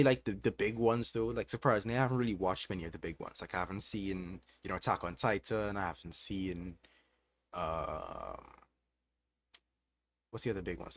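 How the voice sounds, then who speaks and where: A young man with a Trinidadian accent, hosting a casual livestream and answering chat questions while thinking aloud. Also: deep voice. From Trinidad and Tobago. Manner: relaxed, thinking aloud with fillers. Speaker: livestream host.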